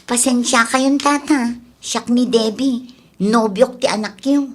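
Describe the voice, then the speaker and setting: A woman's voice: on the high side, slow. A woman playing a polite, reasonable young girlfriend in a radio drama, inquisitive and speaking with an Ilocano accent. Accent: Filipino (Ilocano).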